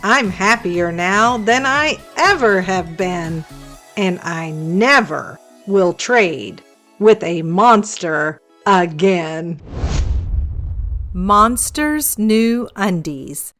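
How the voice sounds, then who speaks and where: Woman, children's storytime host, unhurried pace, theatrical narration, animated melody, music in the background. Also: medium pitch. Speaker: storytime host reading aloud.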